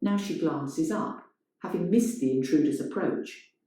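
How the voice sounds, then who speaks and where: A woman's voice: low-pitched, a little slow, dark tone. A woman reading a scripted story as dramatic narration. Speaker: reader of a play-like story. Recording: very echoey, reverberant room.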